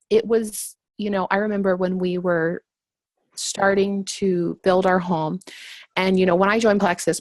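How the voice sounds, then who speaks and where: Woman, 30, lower register, relaxed giggly testimony, dry. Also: dry recording. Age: 30s. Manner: chatty, giggly, many fillers. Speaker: panelist sharing her business story. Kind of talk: casual personal testimony.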